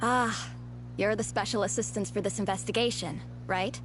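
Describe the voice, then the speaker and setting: An actress playing a polite, matter-of-fact female investigator in a video game, with a brisk official manner and music behind her. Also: mid-range voice, fairly even intonation, noisy background, natural voice. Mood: businesslike.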